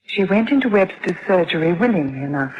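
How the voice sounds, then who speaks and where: A woman's voice: on the low side, animated, fairly quick, husky, lo-fi sound. An actress playing a fretful, hesitant young mother in a radio drama, both in dialogue and narration. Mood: anxious narration. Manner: hesitant, troubled. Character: anxious, fretful young mother of a lonely girl. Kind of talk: radio drama acting and first-person narration.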